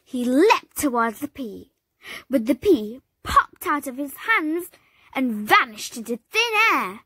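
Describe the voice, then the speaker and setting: A woman reading a children's picture book aloud, slow and expressive, leaping up high for the dramatic moments. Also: breathy edge. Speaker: storybook reader. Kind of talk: children's read-aloud narration.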